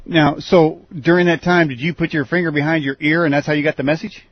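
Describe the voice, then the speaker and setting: A man with a husky voice casually interviewing as a show host, with short responses and questions. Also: on the high side, steady tone, fast, no echo, dull audio.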